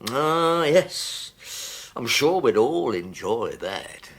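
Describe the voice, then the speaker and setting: An actor playing a meek, downtrodden older husband who stammers and hesitates, in a British radio drama. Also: male voice, mid-range voice, sing-song, drawn-out words, fairly bright tone, exaggerated voice. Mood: hesitant.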